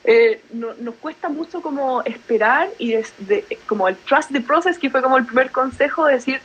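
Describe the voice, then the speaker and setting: A woman's voice: high-pitched, normal speed, husky, low-quality recording. A young adult woman from Chile with a Chilean Spanish accent, chatting casually as a podcast guest and laughing easily between thoughts. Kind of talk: casual conversational interview.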